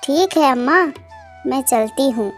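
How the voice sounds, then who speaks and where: A woman's voice: high voice, measured pace, soft background music. A woman playing a poor, humble young village wife in a cartoon moral story, earnest and polite. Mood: helpful.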